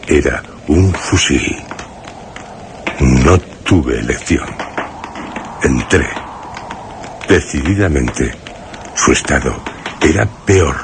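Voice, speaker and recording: Very deep, slow, wary narrator. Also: male voice, background noise, exaggerated voice. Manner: measured, solemn, halting phrases. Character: wary man narrating his dreadful encounter with a mad friend. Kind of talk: scripted suspense radio drama narration.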